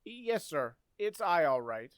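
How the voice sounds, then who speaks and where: A man's voice: a little high, sing-song, takes it slowly, slight background noise. A man playing an earnest, flustered, polite teenage boy in old-time radio comedy, hesitant and stammering. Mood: sheepish, nervous. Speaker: community theater actor.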